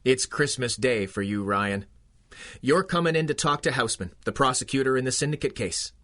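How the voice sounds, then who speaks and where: A man's voice: mid-range voice, lively intonation, a little fast, sharp tone. A man playing a resolute young police constable in a 1930s-style radio drama. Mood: firm, commanding.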